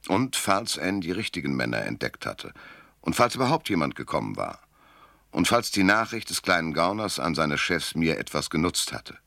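An actor playing a world-weary, laconic private detective who narrates in a dry, sardonic, gravelly way in a German noir radio drama. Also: male voice, low voice, very animated, moderate pace, pushed and bright, dry recording, exaggerated voice. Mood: brooding, measured.

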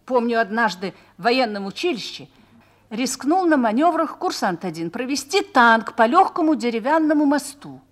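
A woman's voice: a little high, very animated, moderate pace. A woman playing a mature officer's wife in a radio drama, reflective and confiding as she reminisces and explains. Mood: thoughtful, persuasive. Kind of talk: Russian radio drama acting.

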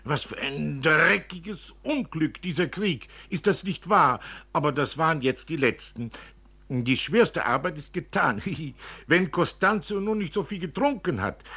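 A man's voice: a little high, sharp tone, slightly rough, pitched above the performer's own voice, exaggerated voice. A man voicing a coarse, garrulous, complaining village woman in a radio story. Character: waddling, wheezy, coarse, chatty Italian village woman.

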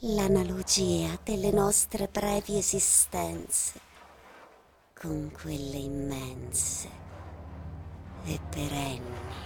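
Slow, solemn woman narrator. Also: low voice, heavily acted. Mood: hushed, contemplative. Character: solemn female narrator of cosmic time. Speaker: actress. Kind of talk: dramatic literary reading, radio theatre. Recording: clear background noise.

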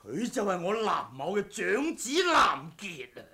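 An actor playing a proud, vengeful martial-arts swordsman in a radio drama, in a theatrical, deliberate manner. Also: high voice, vocal fry, sharp tone, heavily acted. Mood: triumphant. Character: vengeful wuxia swordsman, relentless, grimly proud. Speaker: audiobook voice actor.

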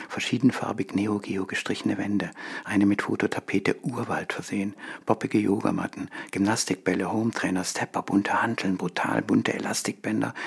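A man reading scripted scene descriptions as a narrator, in a breathy, husky half-whisper and a deliberate, listing manner. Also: lowish voice.

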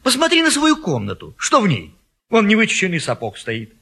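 A brash, high-voiced meddling busybody. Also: male voice, huge pitch swings, breathy edge, heavily acted. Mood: urging. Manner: forceful, cajoling, fast-switching exclamations. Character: brash, meddling bachelor's friend, pushy busybody matchmaker. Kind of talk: classic stage comedy audio play acting.

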